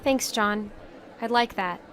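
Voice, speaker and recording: A woman's voice: mid-range voice, takes it slowly, fairly bright tone. A woman playing a naive, curious and earnest young wanderer in an audio drama. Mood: earnest, determined.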